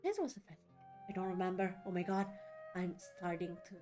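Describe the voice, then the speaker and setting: A woman's voice: lowish voice, very animated, quick pace. A woman streamer giving casual let's-play commentary, chatty and reacting aloud in short asides. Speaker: streamer playing a game. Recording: game music behind.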